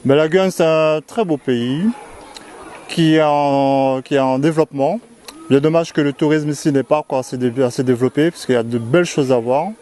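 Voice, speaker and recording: Calm man from French Guiana, dark voice. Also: a little high, slight background noise. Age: 30s. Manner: calm, unhurried, with hesitations. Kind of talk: casual talk to camera.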